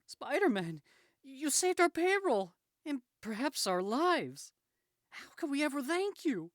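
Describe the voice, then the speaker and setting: A man's voice: very animated, measured pace, dry recording, studio quality, full character voice. A man voicing a grateful, admiring woman from a comic book in an earnest feminine voice. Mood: grateful, awed. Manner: earnest, high feminine put-on voice.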